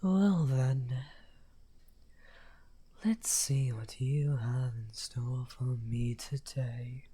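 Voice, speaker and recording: A man playing a misunderstood outcast necromancer wizard, smugly amused and taunting, in an unhurried, breathy, often half-whispered ASMR roleplay. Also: mid-range voice, mellow. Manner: unhurried, taunting, drawn-out phrasing. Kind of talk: slow theatrical ASMR roleplay.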